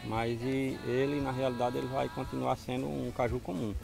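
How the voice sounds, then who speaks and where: A man's voice: mid-range voice, level tone, normal speed, creaky. A middle-aged man from Ceará with a northeastern Brazilian accent, speaking plainly as a farmer in a casual outdoor interview. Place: outdoors on a farm. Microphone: handheld mic with furry windscreen. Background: music.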